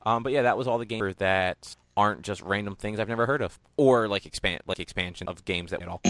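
A man's voice: on the low side, fairly quick, a bit bright. A man hosting a casual gaming chat, blunt and impatient, with some swearing.

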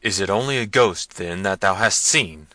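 A man's voice: fairly low pitch, expressive, moderate pace, bright, forceful tone, no room sound. A man voicing a hot-tempered, commanding prince in a gothic novel reading, barking impatient questions and orders. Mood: exasperated, angry.

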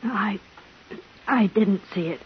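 Shaken, bewildered female witness; slow broken delivery, evasive, 1950s radio thriller, muffled old recording. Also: medium pitch, slightly rough. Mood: frightened, dazed. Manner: halting, fragmented, evasive. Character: frightened, bewildered woman, beaten witness to a killing. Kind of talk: 1950s radio drama acting.

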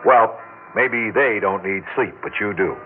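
A man playing a kindly, gently firm middle-aged father in a 1960s radio drama. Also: fairly low pitch, a little slow, natural voice. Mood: fond, amused. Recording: tinny old radio recording, music behind.

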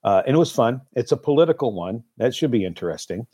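A man guesting on a talk show as a film producer. He speaks casually at an average pitch, choosing his words in a halting, thoughtful manner. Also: expressive, warm tone, husky.

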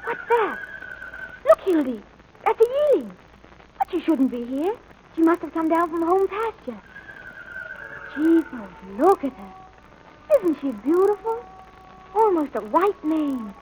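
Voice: very high voice, very animated, unhurried, long pauses, heavily acted. A performer playing an eager, dreamy, horse-mad young ranch boy, breathless with wonder, in 1940s radio drama style. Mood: awestruck. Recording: noisy old radio broadcast.

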